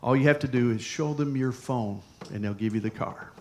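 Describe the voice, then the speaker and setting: A man's voice: mid-range voice, fairly quick, slight background noise. Guy pastor preaching, tells stories, talks to the crowd like a friend. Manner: conversational storytelling, lively asides. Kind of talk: sermon with personal story.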